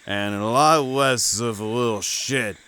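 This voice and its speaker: A man's voice: mid-range voice, unhurried, bright, forceful tone, slight background noise. A man playing an easygoing, teasing older companion in an audio drama, laid-back and ribbing. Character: teasing, easygoing old man, mentor-like friend.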